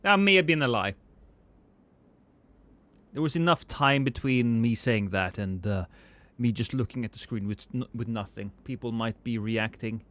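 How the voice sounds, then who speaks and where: A man in his thirties chatting casually and profanely with viewers on a live stream, rambling and joking at his own expense. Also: normal speed. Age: 30s. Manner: rambling, self-mocking, profane; swings between loud excited bursts and low mumbles.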